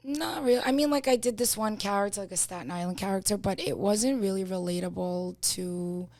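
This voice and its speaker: Gravelly New York woman telling stories. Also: mid-range voice, a little fast, a little mellow, dry recording. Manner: chatty storytelling, lots of fillers. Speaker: podcast guest, comedian. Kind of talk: casual podcast chat.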